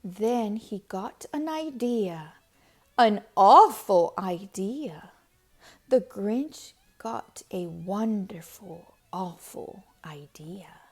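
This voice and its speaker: A woman reading a children's story aloud expressively, with deliberate dramatic pauses and emphasis. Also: mid-range voice, lively intonation. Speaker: storybook reader.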